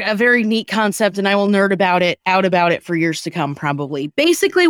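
Woman host, mid-pitched, nerdy and chatty, interrupts herself, very dry sound. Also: a bit bright, dry recording. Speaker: comedy podcast host. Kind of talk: casual, chatty explaining.

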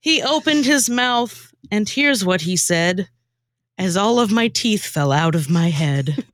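A woman's voice: mid-range voice, animated, slow, no echo, clean studio sound. A woman performing scripted comic radio theatre, narrating in a theatrical storytelling manner. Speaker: former co-host and narrator.

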